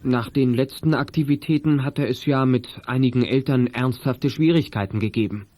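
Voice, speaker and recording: A man reading scripted narration for a children's detective audio play, as a calm storyteller. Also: mid-range voice, normal speed, close to an everyday voice. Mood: measured.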